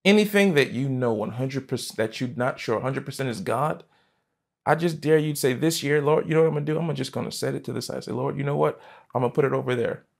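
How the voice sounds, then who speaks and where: A man in his thirties, a pastor preaching a sermon from a church stage, fervent and emphatic, jumping from loud bursts to quiet asides. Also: medium pitch, quick pace, creaky. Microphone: headset mic.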